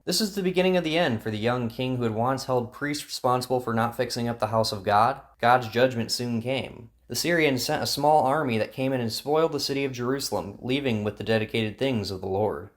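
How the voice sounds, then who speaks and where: A man's voice: mid-range voice, a little fast, few pauses. A man narrating a scripted Bible story in a storytelling style. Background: plucked guitar music.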